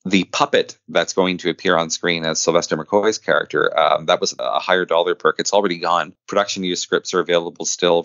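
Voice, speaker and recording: A man's voice: sits low, creaky, a bit bright, no echo, dull audio. A man chatting casually as an interview guest, talkative and earnest, with enthusiasm for his work. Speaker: guest, independent filmmaker. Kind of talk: casual podcast interview chat.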